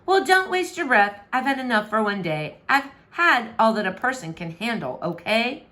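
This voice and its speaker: A woman's voice: a little high, sing-song, normal speed, pushed and bright. A woman voicing a stubborn, earnest young princess in a children's verse storybook, with theatrical, exclamatory delivery.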